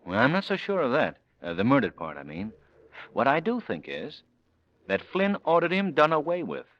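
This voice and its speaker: A confident, scheming gentleman sleuth in a radio mystery. His speech is smooth and wry with lively intonation, heard on a slightly muffled old recording. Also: mid-range voice, moderate pace. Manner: smooth-talking, sardonic, assured. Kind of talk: old-time radio drama acting.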